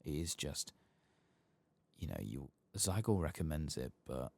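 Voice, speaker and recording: A soft-spoken man hosting an ASMR gaming video, thinking aloud casually in a hushed voice as he plays. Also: very deep voice, sing-song, measured pace, creaky, no echo.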